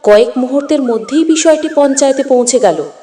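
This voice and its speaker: Woman narrator, scripted storytelling, mellow dark tone, long hall reverb. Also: high-pitched, lively intonation, brisk.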